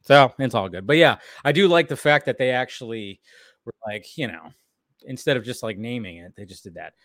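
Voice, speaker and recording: Regular-pitched guy chatting as a cohost, rambly and slangy, goes off on tangents, dry audio no echo. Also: lively intonation, fairly quick, a bit bright. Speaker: co-host of animated series discussion show. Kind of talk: casual chat.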